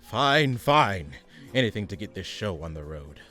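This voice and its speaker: A man's voice: fairly low pitch, very animated, measured pace, bright, forceful tone, soft background music, exaggerated voice. A man playing a pompous, exasperated supervillain chairing a meeting in a comedic radio play, haughty and sarcastic. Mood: exasperated, scheming.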